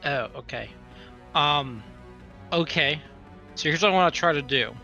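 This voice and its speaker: A man with a bright, fairly high voice chats casually as a player in a tabletop game. He works out his moves aloud in halting bursts, often turning them into questions. Soft music plays underneath. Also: creaky, dull audio.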